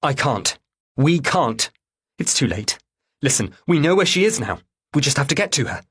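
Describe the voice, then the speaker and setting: A man's voice: mid-range voice, very animated, normal speed, a bit bright, slightly rough, pitched above the performer's own voice. A man voicing a tense, determined mother who speaks urgently and insistently in an audiobook thriller. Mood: insistent, desperate.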